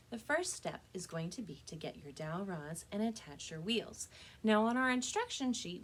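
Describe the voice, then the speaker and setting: A woman's voice: medium pitch, fairly bright tone. A woman presenting a step-by-step craft demonstration for children as a librarian, speaking to her young viewers in a friendly, direct way.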